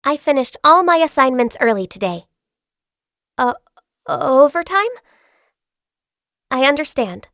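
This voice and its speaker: Casting: a high-voiced, shy, dutiful young game secretary, soft and earnest, dry studio read. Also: female voice, big pitch swings, unhurried, long pauses, no echo, exaggerated voice. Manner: soft-spoken, earnest, a little hesitant. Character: gentle, shy, diligent young female secretary. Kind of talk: video game character voice lines. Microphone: close studio mic.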